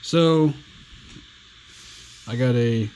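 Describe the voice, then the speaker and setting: Slow, plainspoken man demoing a radio. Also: medium pitch, a little hiss or hum. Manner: relaxed, plainspoken, slow and unhurried. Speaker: radio reviewer. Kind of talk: casual hands-on product demo.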